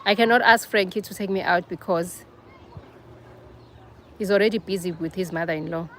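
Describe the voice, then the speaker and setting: A woman's voice: fairly low pitch, normal speed, a little hiss or hum. A young South African woman with a South African accent, hosting a casual livestream and chatting with viewers, teasing them by name. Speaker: livestream host. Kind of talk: casual live chat with viewers.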